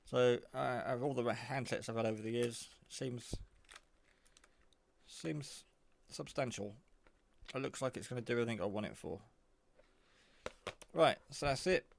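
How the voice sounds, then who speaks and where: A man giving a casual, off-the-cuff product demo, rambling in a low-key way as he thinks aloud. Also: moderate pace, gravelly, long pauses, slight background noise. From England. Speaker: hobbyist reviewer.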